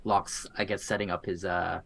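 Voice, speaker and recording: A man's voice: low voice, little pitch movement, normal speed, vocal fry, fairly bright tone. A man acting as dungeon master, narrating a tabletop game in an easygoing manner, with many hesitant fillers.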